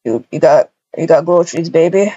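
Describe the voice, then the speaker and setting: A woman plays an easygoing, affectionate dad in a game roleplay. The voice is pushed low and slightly dull in the recording, with halting, repeated words. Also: mellow, husky, no room sound. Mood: easygoing, caring.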